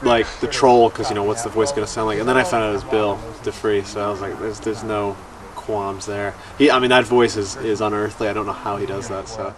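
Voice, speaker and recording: A man talking casually and haltingly in an informal documentary interview. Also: on the low side, steady tone, a crowd in the background. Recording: outdoors, distant mic, busy background bustle.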